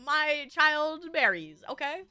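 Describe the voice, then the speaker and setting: A woman's voice: high-pitched, big pitch swings, slow, bright, forceful tone, dry recording, faint music behind. A woman streamer with a stuffy nose, chatting casually with her viewers in a bubbly, rambling way with frequent giggles.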